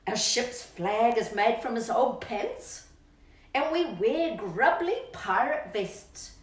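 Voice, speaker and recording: A woman's voice: on the high side, lively intonation, slow. A woman reading aloud to children in a playful, sing-song storybook style, drawing out words for emphasis and chatting to her pet. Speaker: children's storytime reader and channel host. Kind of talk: expressive storybook read-aloud with asides to a pet dog. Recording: roomy echo, distant microphone.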